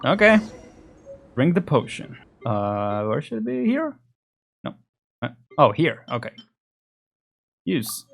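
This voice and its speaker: A man's voice: on the high side, huge pitch swings, slow, vocal fry, pauses often. Casual man doing game commentary. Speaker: gaming video creator. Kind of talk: casual let's play commentary.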